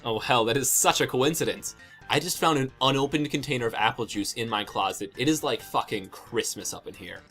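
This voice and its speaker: A man's voice: medium pitch, fairly quick, a bit bright, husky, faint music behind. A man voicing a cool, sarcastic, foul-mouthed teenage boy with a dry, slangy manner in an amateur comic dub.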